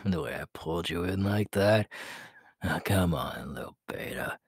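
A man voicing a cocky, possessive, cruel young werewolf king in an ASMR audio roleplay, husky and breathy, often dropping to a whisper, with a teasing, menacing manner. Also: sits low, animated, no echo, clean studio sound. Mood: gloating, teasing. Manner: slow, teasing, menacing drawl.

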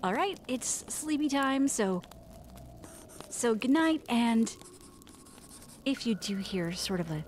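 A woman playing a fussy, chatty castaway in a comedic audio drama, expressive, breezy and rambling. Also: a little high, slow pace, pauses often, a little hiss or hum. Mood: chatty, confiding. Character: fussy, chatty woman stranded in another world. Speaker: podcast narrator character.

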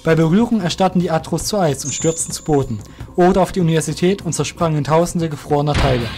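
A man's voice: fairly high pitch, a little mellow. A man narrating a fantasy audio drama from a script, in a storytelling style.

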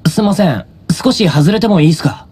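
A man playing an earnest, casual-spoken teenage schoolboy hero in an anime-style game, quick to worry. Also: high-pitched, expressive, a little mellow. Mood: apologetic. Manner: casual, rough boyish speech. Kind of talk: Japanese anime game acting.

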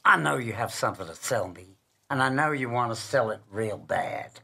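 A courtly store manager in an audiobook drama. His voice is gravelly and husky, and his manner is folksy and plain-spoken. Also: mid-range voice, animated, normal speed, sharp tone, full character voice. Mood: knowing, patient.

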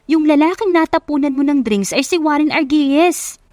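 A woman with a Filipino accent voicing an excitable, teasing young female best friend in an audio romance, exclamatory and slangy. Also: high voice, moderate pace, no room sound, clean studio sound, pitched above the performer's own voice, natural voice. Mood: excited, incredulous.

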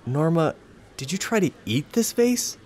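A man's voice: a little high, very animated, measured pace, darkish tone, a little hiss or hum. A man playing a polite, puzzled antiques appraiser in a comedy sketch.

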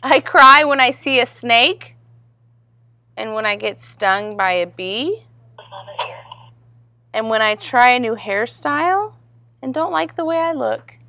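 A woman voicing a frank, gentle old horse in a storybook, with a measured, candid manner. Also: fairly high pitch, expressive, no echo, pitched above the performer's own voice, exaggerated voice.

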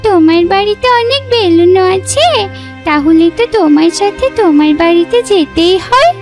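A woman's voice: very high-pitched, expressive, moderate pace. A woman voicing an innocent, chatty little girl fox cub in a children's cartoon, in a childlike, wheedling manner. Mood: excited. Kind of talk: acted children's cartoon dialogue. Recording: music behind the voice.

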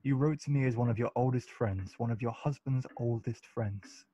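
A man playing a witty, frank gentleman in his thirties in a period radio play. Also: medium pitch, normal speed, breathy edge, natural voice. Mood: frank, earnest. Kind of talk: amateur radio play acting of a period comedy.

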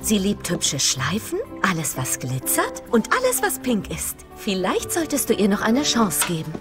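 A woman playing a kind, encouraging, upbeat young big sister in an animated film dub. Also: mid-range voice, moderate pace, over music. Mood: gentle, coaxing.

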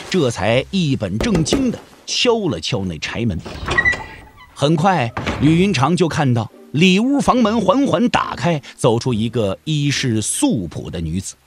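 A man delivering dramatic, expressive scripted narration for a wuxia audio drama. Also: fairly high pitch, slight background noise. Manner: expressive storytelling.

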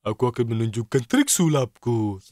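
A man voicing an excitable, good-natured cartoon cook in a children's show, with exaggerated, drawn-out exclamations. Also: medium pitch, background noise, natural voice. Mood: showy.

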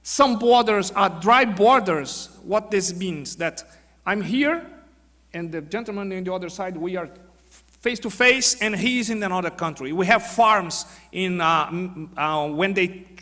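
A Brazilian man in his fifties, a general, presenting at a podium in a large auditorium in halting English with a Brazilian Portuguese accent, full of fillers and restarts. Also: high voice, pushed and bright. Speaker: panel speaker, army general. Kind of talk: conference presentation. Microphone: podium microphone. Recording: long hall reverb.

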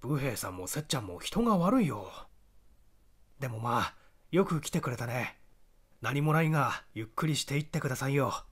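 A man's voice: mid-range voice, very animated, moderate pace, breathy edge. A man voicing a troubled, earnest young diner owner in audiobook dialogue, explaining himself in a conversational way. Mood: surprised, welcoming.

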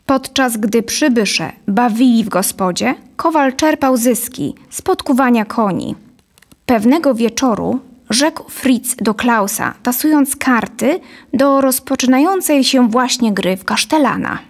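Woman storyteller with a slightly high voice. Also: lively intonation, measured pace. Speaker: narrator. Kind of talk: scripted storytelling narration. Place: dark small studio, indoors. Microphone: studio condenser on boom, close.

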